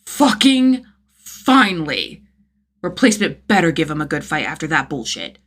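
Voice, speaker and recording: A woman's voice: low-pitched, very animated, moderate pace, fairly bright tone, full character voice. A woman voicing an angry, vengeful young man, impatient and menacing, in a story reading. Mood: furious, relieved.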